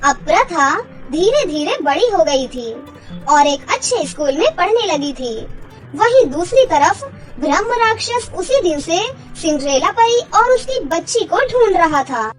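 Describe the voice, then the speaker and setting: High-pitched woman narrating a children's story. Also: sing-song, a little slow, faint music behind, poor audio.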